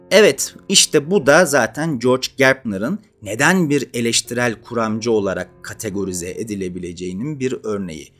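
A man of about thirty hosts an educational video essay, speaking emphatically and deliberately, with rhetorical questions to the listener. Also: on the low side, a bit bright. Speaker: host of an educational channel. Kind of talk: explanatory video essay to camera. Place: small indoor studio with dark curtain backdrop. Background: faint background music.

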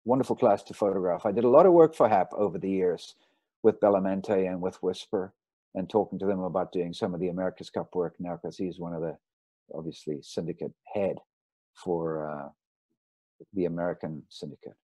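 A man, a sailing photographer, giving a relaxed, rambling slideshow talk over a video call. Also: low-pitched, brisk, no room sound. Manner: rambling, relaxed, with fillers and restarts. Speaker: marine photographer giving a lecture. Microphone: video call mic.

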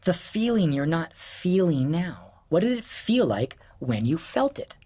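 An actor playing an exasperated, sarcastic husband in an audio drama, hurt and profane in a late-night argument. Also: male voice, on the high side, big pitch swings, a little slow, darkish tone, husky, full character voice. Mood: quiet, probing. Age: 40s.

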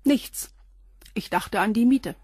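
A matter-of-fact concierge. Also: female voice, fairly high pitch, slow pace, a little mellow, husky, no room sound, studio quality. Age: 40s. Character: plain-spoken woman concierge around forty.

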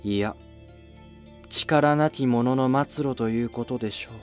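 A man's voice: medium pitch, a little slow, soft background music, close to an everyday voice. A man voicing a dignified, resigned mother of a prince, composed and fatalistic.

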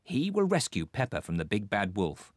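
A man with a British accent narrating a preschool cartoon in a clear, simple storytelling manner. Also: lowish voice, huge pitch swings, moderate pace, dry recording, clean studio sound, soft background music. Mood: bright storytelling.

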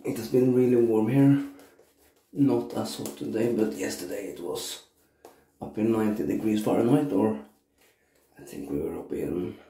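A man in his thirties with a cold and a stuffy nose, reviewing shaving soap casually in his bathroom while shaving on camera. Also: on the low side. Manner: slow, relaxed, frequent uh and um pauses. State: congested from a cold, sore throat. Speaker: shaving vlogger. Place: small bathroom indoors. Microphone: camera at arm's length. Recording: echoey bathroom.

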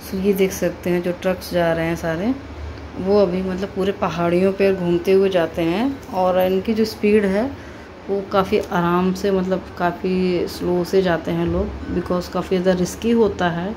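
A woman's voice: lowish voice, level tone, moderate pace, warm tone, slight background noise. A woman and mother narrating her family's train journey in a loose, chatty travel-vlog style, mixing in English words and fillers.

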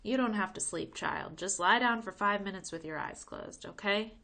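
A woman reading the part of a folksy, gently firm grandmother in a children's storybook. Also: medium pitch, normal speed, creaky, voice pushed up. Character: warm, weary grandmother telling of old times.

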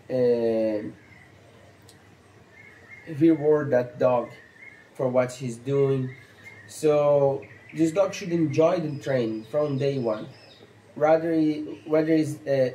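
A man in his thirties with a Brazilian accent, a stock dog trainer explaining training basics in halting, earnest English, seated on an outdoor patio. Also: mid-range voice, warm tone, reverberant, rough recording. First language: Portuguese. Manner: halting, earnest, non-native phrasing with fillers. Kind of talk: instructional talk to camera.

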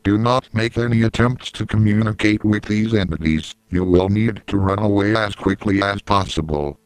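A man playing a grave, impersonal emergency alert announcer in an analog horror broadcast, formal and deadpan. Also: fairly low pitch, normal speed, clear, resonant tone, no echo, close to an everyday voice, rough recording.

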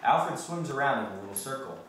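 A man's voice: medium pitch, expressive, fairly quick, a bit bright. A man giving scripted narration as the reader of stage directions at a play reading. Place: wood-paneled mansion room. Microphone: distant camera microphone. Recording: very echoey room, distant mic, clear noise.

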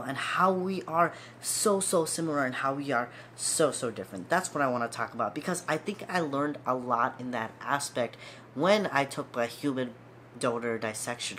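A man's voice: mid-range voice, sharp tone, a little hiss or hum. A young man in his twenties, a medical student, talking casually and earnestly to camera from a small room at home, sounding stuffy and repeating words for emphasis. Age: 20s. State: stuffy nose. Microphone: seated facing camera at close range.

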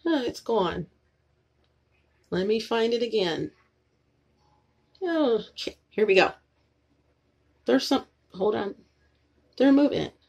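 A woman's voice: on the high side, lively intonation, slow, vocal fry, pauses often, a little mellow, breathy edge. A woman vlogging in her apartment, rambling and repeating herself as she talks straight to her viewers while filming with a phone. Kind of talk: rambling casual talk to camera. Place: apartment room, indoors. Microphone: phone camera pointed at the ceiling.

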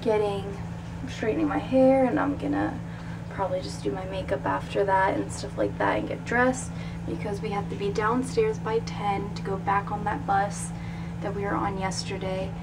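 A woman's voice: mid-range voice, normal speed, far from the mic. A young woman chatting casually to a handheld camera in a hotel room, narrating her plans for the day. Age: young adult. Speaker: vlogger. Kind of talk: casual vlog chat. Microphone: handheld camera at arm's length. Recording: camera audio with background noise.

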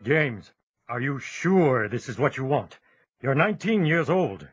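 Plainspoken farmer father, animated. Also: medium pitch, unhurried, muffled recording, over music. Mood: concerned, gruff kindness. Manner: plain, paternal. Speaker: actor in an audio drama.

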